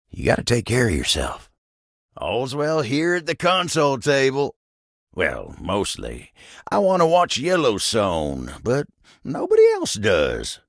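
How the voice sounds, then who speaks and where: An actor playing a folksy, humble, hardworking cowboy coffee table in a video game, with a gravelly American Southern drawl and an easygoing, genial manner. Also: male voice, mid-range voice, no room sound, studio quality. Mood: friendly, reassuring, then enthusiastic, gossipy. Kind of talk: video game character dialogue.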